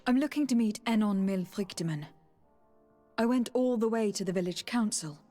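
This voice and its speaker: A woman's voice: medium pitch, normal speed, dark tone, soft background music, natural voice. An actress playing a young noblewoman envoy in a video game, composed, determined and commanding. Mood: concerned, resolute. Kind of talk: video game cutscene acting.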